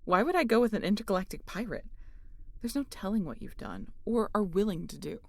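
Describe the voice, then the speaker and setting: A woman's voice: mid-range voice, sing-song, brisk, creaky, no room sound. A woman playing a guarded, sardonic scientist aboard a spaceship in a sci-fi audio roleplay, with a dry, conversational delivery.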